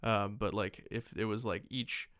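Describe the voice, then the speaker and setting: A man's voice: lowish voice, fairly even intonation, measured pace, a bit bright, clean tone, no room sound, studio quality. A man co-hosting a podcast, chatting casually about films in a rambling, jokey way with some swearing. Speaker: podcast co-host. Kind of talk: casual film discussion chat.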